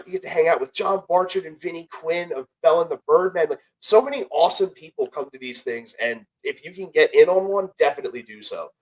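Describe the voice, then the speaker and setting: A young man with a slightly high voice, a bit stuffed up and nasal from a cold. He co-hosts a relaxed sports podcast and rambles through the talk and the ad reads. He stops to correct himself and cracks jokes as he goes. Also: rough recording. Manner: rambling, self-correcting, joking. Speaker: podcast co-host.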